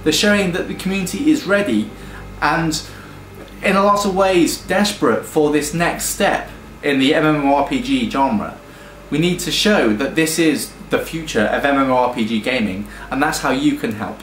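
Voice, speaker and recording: A British man pitching his game studio's project, talking enthusiastically with frequent ums and restarts. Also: moderate pace, fairly bright tone, a little hiss or hum. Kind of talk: earnest crowdfunding pitch. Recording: echoey room with faint music.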